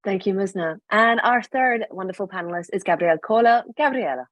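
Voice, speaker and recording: A woman's voice: medium pitch, fairly quick, no echo. Woman moderator, upbeat, close and dry recording. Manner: upbeat, welcoming. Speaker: panel moderator. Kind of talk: moderating an online panel.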